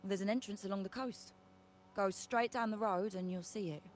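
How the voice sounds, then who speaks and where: A woman's voice: medium pitch, moderate pace, slight background noise, close to an everyday voice. An actress playing a young woman in scripted video game dialogue. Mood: matter-of-fact.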